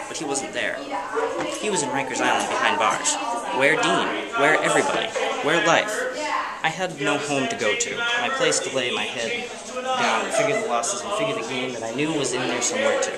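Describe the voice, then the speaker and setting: A man, a student, giving an amateur dramatic reading of a novel in a plain, unpolished way with some stumbles. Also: medium pitch. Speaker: student reader. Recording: distant mic with loud background noise.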